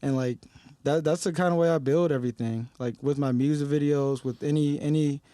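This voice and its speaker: A young man from Detroit talks in a loose podcast chat with a voice pitched a little above most men's. He gets heated and swears for emphasis. The sound is close and dry, with no room around it. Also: fairly even intonation, brisk, mellow, no echo. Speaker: rapper guest. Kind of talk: casual podcast conversation.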